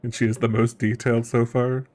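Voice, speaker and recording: A streamer giving casual game playthrough commentary, musing and thinking out loud. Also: mid-range voice, little pitch movement, brisk, faint music behind.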